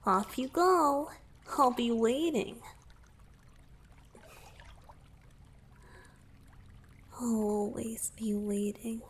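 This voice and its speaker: An affectionate young merman boyfriend, played by a woman, speaks very slowly and intimately to the listener in a romantic ASMR roleplay. The voice is breathy, tender and teasing, often dropping to a whisper, with noticeable background noise behind it. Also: a little high. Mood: excited, eager.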